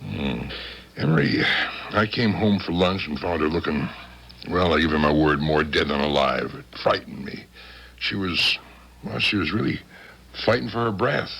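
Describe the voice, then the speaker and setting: An actor playing a hearty, chummy small-town mayor in a 1970s radio drama, with a husky, folksy delivery. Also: male voice, extremely low pitch, expressive, normal speed, a bit bright, heavily acted. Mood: concerned. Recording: 1970s radio broadcast recording.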